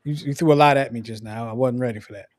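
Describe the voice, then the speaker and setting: A man's voice: medium pitch, fast talker, background noise. Laid-back podcast host losing his voice. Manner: laid-back, teasing, repeats sign-off phrases. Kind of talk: casual sports podcast chat.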